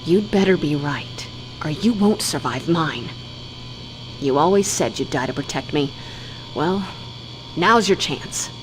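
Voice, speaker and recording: A woman playing a haughty, demanding and fearful lady in a video game cutscene, sharp and imperious. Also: low-pitched, expressive, unhurried. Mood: threatening. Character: haughty, frightened, demanding crime-family lady. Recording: noisy game cutscene audio.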